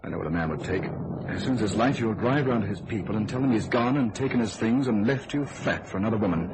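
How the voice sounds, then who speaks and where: An actor playing a cold, controlling lover in a radio thriller, clipped, commanding and sardonic. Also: male voice, on the low side, lively intonation, fast, lightly acted. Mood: brisk, commanding. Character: cold, controlling male lover covering up a killing. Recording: noisy old radio drama recording.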